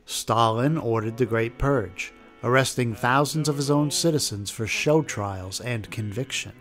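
A man's voice: mid-range voice, a little slow, a bit bright, faint music behind. A man hosting a documentary with scripted history narration. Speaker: documentary host.

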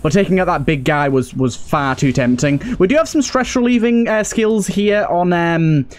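Male let's play host, slightly high voice, casual gameplay commentary. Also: moderate pace, a little hiss or hum.

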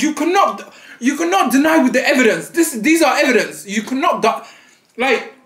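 Dramatic high-voiced young man, eating on camera. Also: reverberant. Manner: dramatic, playful, animated, eating while talking. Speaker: vlogger. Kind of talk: mukbang vlog chat. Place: small indoor studio set at a table.

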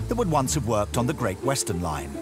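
English guy narrating a doc, really lively swooping delivery, music loud under him. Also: mid-range voice, fairly quick. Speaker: documentary narrator. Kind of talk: scripted narration. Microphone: studio voice-over.

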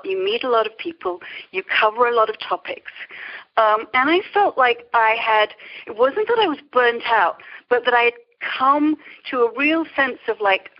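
A middle-aged woman with an English accent, telling her story in a reflective, articulate, conversational way as an interview guest. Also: a little high. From England. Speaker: interview guest, creativity coach. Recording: muffled lo-fi call audio.

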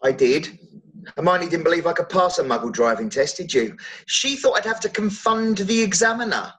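An actor playing a silly, good-natured middle-aged wizard dad in a playful, mock-grand manner, at a table read over video call. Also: male voice, sits high, lively intonation, normal speed, fairly bright tone, rough recording. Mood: boastful. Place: room at home, video call.

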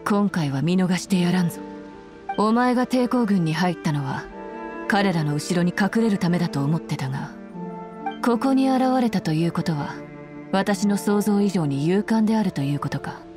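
A woman's voice: fairly low pitch, expressive, moderate pace, music in the background, natural voice. A woman playing a stern, commanding female general in an anime game, speaking with cool, formal authority. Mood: challenging.

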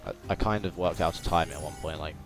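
A man's voice: extremely low pitch, fast. A young man giving casual, rambling, playful let's-play commentary, often repeating his words. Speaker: gaming video host. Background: video game music and sounds.

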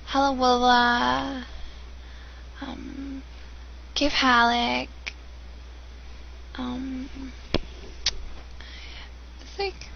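A woman's voice: high-pitched, creaky. A young American woman rambling on a webcam, bored and giggly, with many ums. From United States. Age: young adult. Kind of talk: casual webcam vlog. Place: dim room indoors. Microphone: webcam built-in mic. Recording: dull lo-fi webcam audio with faint hiss.

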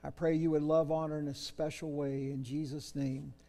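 Middle-aged male pastor, gravelly and earnest, baptism officiant in a reverberant church. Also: on the high side, little pitch movement, normal speed. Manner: earnest, gentle prayerful delivery. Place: church baptistry, large sanctuary.

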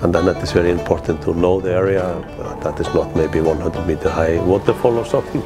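A middle-aged man from Iceland's East Fjords with an Icelandic accent, reminiscing in halting, plain, non-native English in a documentary interview. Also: low voice, steady tone. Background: guitar music.

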